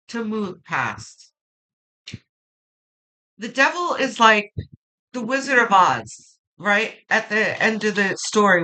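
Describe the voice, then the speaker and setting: A woman with a low voice that turns gravelly when quiet, slowly and reassuringly reading tarot cards and thinking aloud. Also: low-quality recording. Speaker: tarot reader.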